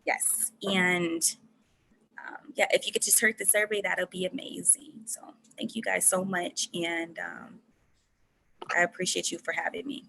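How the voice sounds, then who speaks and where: A woman's voice: fairly low pitch, flat delivery, measured pace, creaky, bright, forceful tone, a little hiss or hum, rough recording. A young woman, a guest workshop presenter, wrapping up in an easygoing, chatty way. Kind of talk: casual closing remarks.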